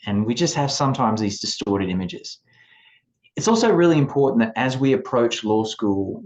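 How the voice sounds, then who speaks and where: A man in his forties with an Australian accent, giving a measured, explanatory talk to students as an admissions advisor over a video call. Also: lowish voice, a little mellow. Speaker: law school admissions advisor. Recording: dull lo-fi video call audio.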